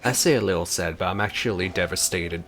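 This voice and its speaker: A man's voice: low-pitched, quick pace, gravelly. A man hosting a let's play, giving casual gameplay commentary. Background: soft game music.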